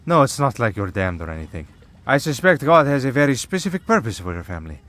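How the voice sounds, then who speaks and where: A man's voice: medium pitch, sing-song, fast talker, a bit bright. A man playing a centuries-old vampire priest in an audio roleplay, dry, sardonic and protective. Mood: patient, wry.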